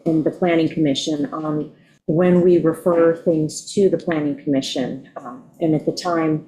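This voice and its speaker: A woman with a sore throat, losing her voice, chairing a town board work session with halting, hesitant speech. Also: lowish voice, warm tone, rough recording. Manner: halting, many ums. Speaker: town supervisor chairing a meeting. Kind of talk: chairing a public meeting.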